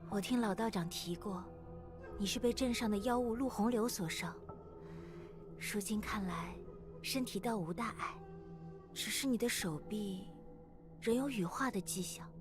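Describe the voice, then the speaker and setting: Clear music plays behind an actress as a composed temple woman in a game. She counsels in a measured manner, with a medium-pitched voice. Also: natural voice. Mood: calm concern. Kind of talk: video game dialogue acting.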